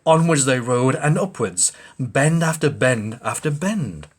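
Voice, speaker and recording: A man's voice: medium pitch, expressive, a little slow, slightly rough. A man reading a rhyming children's storybook aloud expressively, like a father at bedtime.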